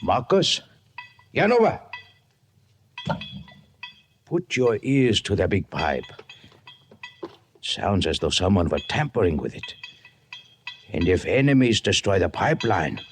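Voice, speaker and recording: A noisy old radio recording carries an earnest settler leader. His voice sits low and rises and falls widely. He speaks with formal, almost biblical dignity. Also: very animated, slow pace, long pauses, heavily acted. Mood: urgent. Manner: formal, biblical turns of phrase. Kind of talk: old-time radio drama acting.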